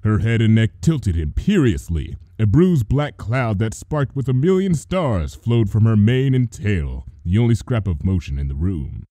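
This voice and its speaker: Animated male storyteller, dry sound. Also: lowish voice, measured pace, few pauses, dry recording. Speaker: fan fiction reader. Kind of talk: expressive dramatic story narration.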